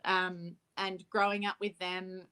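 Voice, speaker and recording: Woman, earnest and halting. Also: lowish voice, level tone, pushed and bright, clean tone. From Australia. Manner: earnest, halting with many restarts. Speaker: filmmaker guest. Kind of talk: interview conversation.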